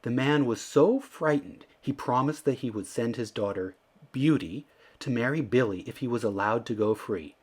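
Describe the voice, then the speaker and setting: A man reading a children's story aloud in an expressive storytelling style. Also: medium pitch, moderate pace, husky. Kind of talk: expressive scripted storybook narration.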